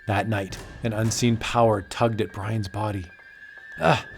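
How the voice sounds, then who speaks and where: A man's voice: on the low side, little pitch movement, moderate pace, soft background music. A man reading dramatic scripted narration as an audiobook narrator.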